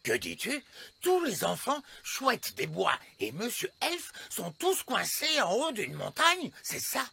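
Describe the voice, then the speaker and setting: Creaky old wolf scout leader. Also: male voice, very high-pitched, huge pitch swings, slow pace, sharp tone, exaggerated voice. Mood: hearty, weary.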